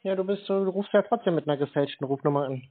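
A man's voice: fairly high pitch, racing, slightly rough, dry recording. A man chatting casually on a call, needling the other person in a laconic, unruffled way. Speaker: scambaiter. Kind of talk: casual argumentative phone chat. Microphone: phone call recording. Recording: narrowband phone sound with noise, clicks.

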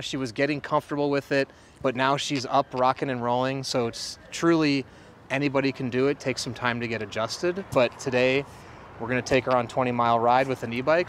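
A man's voice: mid-range voice, a bit bright. A man hosting on camera outdoors, interviewing people in an upbeat presenter style. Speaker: host, company CEO. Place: outdoors by traffic. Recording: outdoor recording with clear street noise.

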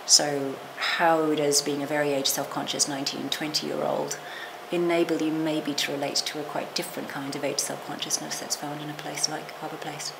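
A woman's voice: low-pitched, little pitch movement, normal speed, creaky. A middle-aged woman, a literature professor, talking thoughtfully in an interview, qualifying herself with restarts and asides. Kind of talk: reflective interview talk. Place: small lecture room, seated. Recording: noisy room recording.

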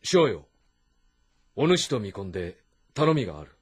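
An actor playing a shrewd middle-aged warlord of ancient China, husky and deliberate, in a historical video game. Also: male voice, lowish voice, very animated, full character voice. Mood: calm, commanding. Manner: authoritative, deliberate, lordly. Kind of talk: dramatic Japanese historical game voice acting.

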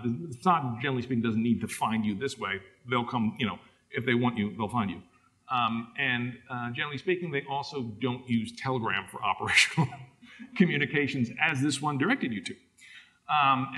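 A man in his thirties talks through his slides from a podium in a large conference hall. His delivery is casual and loose, with plenty of ums and uhs. His voice sits at a middle pitch and comes across bright and forceful. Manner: casual, many fillers, asks for next slide. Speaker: conference speaker. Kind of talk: conference presentation.

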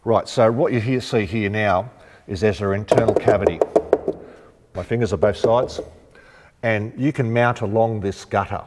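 A man's voice: on the low side, normal speed, heavy background noise. A man, casually demonstrating rooftop tent fittings in a large warehouse showroom, chatty and practical with lots of ums. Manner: chatty, practical, thinks aloud with frequent ums. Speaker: shop presenter demonstrating rooftop tents. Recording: long warehouse reverb, faint music.

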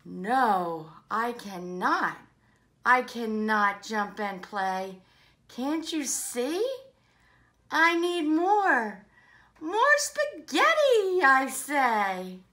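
An excitable, stubborn girl monkey, acted out by a woman for a children's storybook. The voice is high and forceful, swinging up in singsong bursts. She gushes greedily and stresses her words hard. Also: very slow, heavily acted. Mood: gushing, insistent. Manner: gushing, emphatic, singsong. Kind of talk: children's storybook read-aloud.

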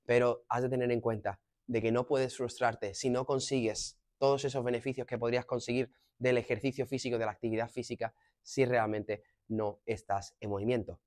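A man in his thirties with an accent from Spain, a fitness coach giving measured, didactic advice straight to the viewer. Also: mid-range voice, little pitch movement, a little mellow. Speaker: fitness coach presenting a lesson. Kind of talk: explanatory advice to camera. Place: gym room, indoors. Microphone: clip-on lapel mic.

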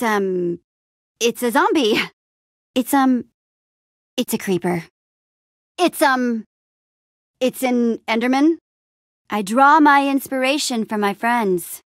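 A woman playing an upbeat young adventurer and town hero in a video game, friendly, casual, expressive and quippy. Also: fairly high pitch, dry recording, studio quality. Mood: unsure, puzzled, then fond, reminiscing. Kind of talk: video game character acting.

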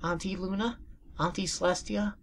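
Guy putting on a teary little colt voice, soft and high, kinda slow and pleading. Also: fairly even intonation, gravelly, a little hiss or hum. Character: small tearful pony colt, sweet and timid. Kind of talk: reading fan fiction aloud.

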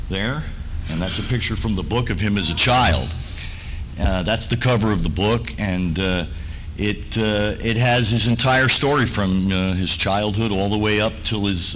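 A man's voice: fairly low pitch, bright, forceful tone. A man presenting an informal, anecdotal slide-show lecture to a room, speaking as a presenter and biographer. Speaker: presenter, biographer of a cartoon voice actor. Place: hotel function room with projector screen. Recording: noisy room recording with ambience.

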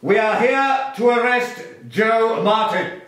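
An actor playing a stern, menacing, bullying military officer in a period radio drama. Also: male voice, sits high, slow pace, fairly bright tone, very echoey.

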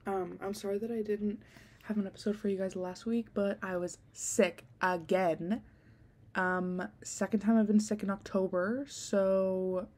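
A woman's voice: mid-range voice, darkish tone. Young woman, sick and a bit nasal. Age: young adult. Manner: rambling, giggly, lots of ums and likes. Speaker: podcast host. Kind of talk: casual chatty vlog. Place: dim bedroom indoors. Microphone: handheld desk mic close to mouth.

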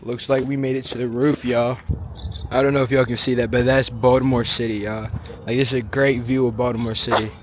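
A young man casually vlogging while he explores an abandoned school, talking straight to his viewers in relaxed slang. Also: medium pitch, a little fast, background noise. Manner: laid-back slangy, keeps addressing viewers. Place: abandoned school, indoors and on roof. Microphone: handheld camera at arm's length.

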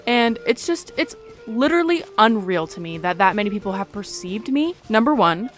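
Young female gaming creator: chatty, playful, gushing, mid-pitched, music underneath. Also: sing-song, normal speed. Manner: gushing, self-interrupting, playful. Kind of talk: casual chatty game playthrough commentary. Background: soft game music.

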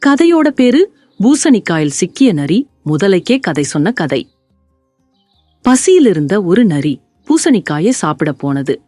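A woman narrating a scripted children's story in an expressive, deliberate way. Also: mid-range voice, no room sound.

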